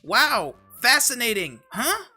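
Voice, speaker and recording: A woman playing an excitable, exasperated young boy in a flustered, exclamatory way in a fan webcomic dub. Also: a little high, slow pace, husky, soft background music, heavily acted. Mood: sarcastic. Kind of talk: fan comic dub acting.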